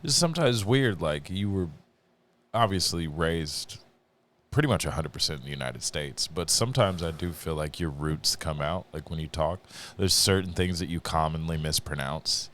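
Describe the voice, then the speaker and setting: A man with a deep radio voice, chatting casually as a podcast guest, relaxed and swearing, rambling with restarts. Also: moderate pace, a little hiss or hum. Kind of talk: casual chat.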